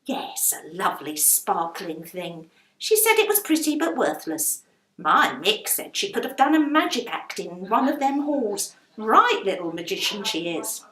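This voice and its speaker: A woman's voice: medium pitch, very animated, a little slow, a bit bright, roomy sound, rough recording. A woman voicing a chatty, blunt working-class London landlady in a children's storybook. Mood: guilty, fond. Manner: chatty, blunt, colloquial non-standard grammar.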